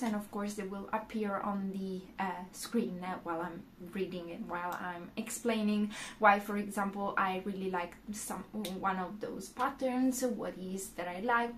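A young woman with an Italian accent talks to the camera in a small room, slowly and unhurriedly, filling pauses with "uh". Her voice sits at a middle pitch, and a faint hiss of room noise lies under it. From Italy. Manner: unhurried, many "uh" fillers, gestures with hands. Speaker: knitting YouTuber. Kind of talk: casual talk to camera.

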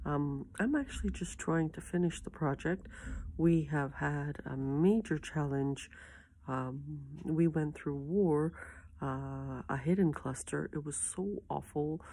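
A woman in her fifties recording an unscripted video diary to camera, talking in a halting way with frequent pauses mid-thought. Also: low-pitched, warm tone. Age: 50s. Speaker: author making a video diary entry. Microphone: phone at arm's length.

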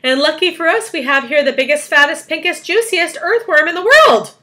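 A woman's voice: mid-range voice, very animated, fairly quick, bright, forceful tone. A woman voicing a clever, confident young boy in a storybook, earnest and eager as he explains.

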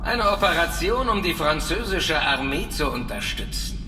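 Audio drama villain: vengeful zombie-army commander, slightly high voice, taunting, distant in a cavernous hall. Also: male voice, sing-song. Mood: bitter, grave. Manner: slow, taunting, theatrical. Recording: echoey hall, long reverb, distant. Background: dramatic music.

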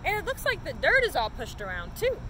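A woman's voice: high-pitched, huge pitch swings, a little fast, pitched above the performer's own voice. A woman voicing a curious young boy in a storybook, with an inquisitive, wondering manner. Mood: puzzled. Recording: noisy outdoor recording.

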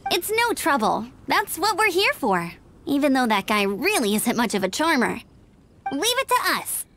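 An earnest young knight scout in a fantasy game. Her voice is high and lively in pitch, dutiful and reassuring, with faint noise behind. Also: moderate pace, full character voice. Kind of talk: video game voice acting.

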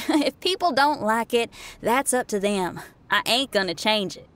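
A woman's voice: on the high side, lively intonation, a little slow, fairly bright tone, slight background noise. An actress playing a spirited young woman musician in a video game, colloquial, self-assured and encouraging. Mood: self-assured, upbeat.

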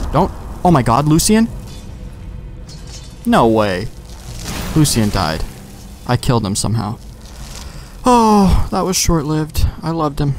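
A man giving casual, rambling gameplay commentary, thinking aloud with some mild swearing. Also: medium pitch. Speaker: let's play host. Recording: game music faintly behind. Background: faint game music.

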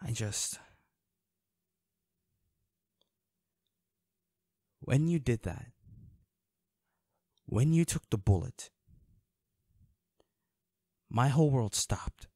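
Protective male bodyguard, played by a man for audio drama: emotional, halting, unhurried, with wide pitch swings. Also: lively intonation, mellow, slightly rough, dry recording, clean studio sound, exaggerated voice. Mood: hurt, subdued. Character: protective, worried male bodyguard scolding a loved one. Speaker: audio roleplay voice actor. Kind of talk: intimate dramatic audio roleplay.

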